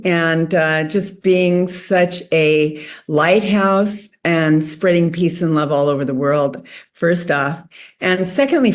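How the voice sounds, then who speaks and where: A woman teacher of self-love giving an earnest, enthusiastic online interview. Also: lowish voice. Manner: earnest, enthusiastic, spiritual speaker. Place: remote video call.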